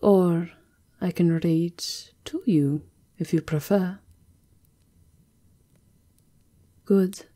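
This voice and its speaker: A woman acts out an elegant female vampire countess for an ASMR roleplay. Her voice is lowish and mellow, and she speaks very slowly and intimately, with a seductive, teasing languor. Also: steady tone. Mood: soft, affectionate.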